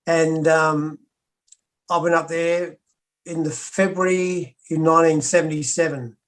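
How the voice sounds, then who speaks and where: An older Australian man, a former pro wrestler, recounting his past as an interview guest in a steady, matter-of-fact way. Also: fairly high pitch, measured pace. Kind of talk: interview storytelling.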